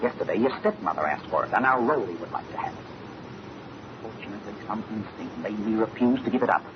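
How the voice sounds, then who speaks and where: An actor playing an earnest, agitated young fiancé in an old-time radio mystery, speaking with dramatic insistence. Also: male voice, lowish voice, lively intonation, fast, close to an everyday voice. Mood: brooding, intent. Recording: muffled, noisy old radio recording.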